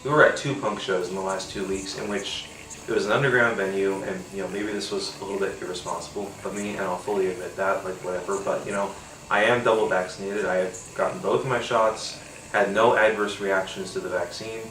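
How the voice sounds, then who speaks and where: A man's voice: low-pitched, steady tone, fairly quick, fairly bright tone, echoey room, distant mic, a little hiss or hum, low-quality recording. A man with a congested, nasal voice from a cold, rambling casually with lots of fillers and casual swearing while he tastes a beer. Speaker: podcast host. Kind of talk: casual solo beer-tasting chat.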